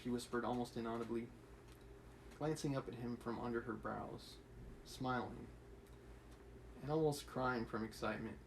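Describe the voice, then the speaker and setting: A man's voice: mid-range voice, fairly even intonation, moderate pace, pauses often, slight background noise, rough recording. A man reading a classic novel aloud on a livestream, with casual asides to his chat. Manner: casual, reads with light character voices and laughs between asides. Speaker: livestream reader.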